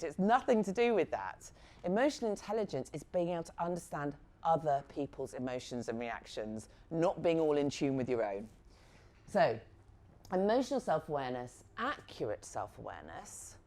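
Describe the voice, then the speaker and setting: A woman in midlife leads a workshop on negotiation. Her voice sits low and mellow, and her pitch swings widely as she talks. She speaks frankly and acts out little exchanges to make her points. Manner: lively, frank, acts out example dialogues. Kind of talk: live workshop talk to assistants.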